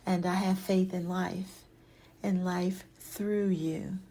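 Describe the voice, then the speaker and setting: A woman leading a guided yoga nidra meditation in a soft, soothing, hushed voice that often trails into a breathy whisper. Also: fairly low pitch, level tone, slow.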